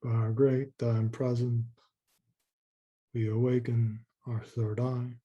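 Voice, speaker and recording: A man leading a guided meditation in a soft, slow, calming way, with long pauses and repeated words. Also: mid-range voice, breathy edge, highs cut off.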